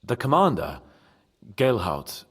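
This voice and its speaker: A man playing an impulsive, expressive young medieval nobleman in a game. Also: mid-range voice, takes it slowly, breathy edge, big-room sound, full character voice. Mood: earnest. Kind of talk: dramatic game cutscene acting.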